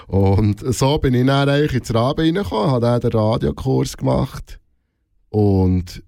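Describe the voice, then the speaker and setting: Mellow Swiss German man in casual interview. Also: mid-range voice, creaky, no room sound, studio quality. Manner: hesitant, rephrasing often, repeating words mid-sentence. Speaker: radio host being interviewed.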